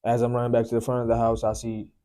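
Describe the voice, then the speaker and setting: A young man from Sri Lanka, a guest telling his life story in a soft, unhurried way, often filling with "you know, man". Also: medium pitch, completely flat delivery. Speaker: guest sharing testimony. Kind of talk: personal testimony, casual storytelling.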